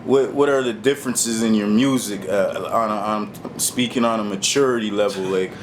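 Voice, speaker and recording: A man's voice: fairly low pitch, fairly even intonation, normal speed, noisy background. A male host interviewing a guest casually, informal and often repeating words as he frames his questions.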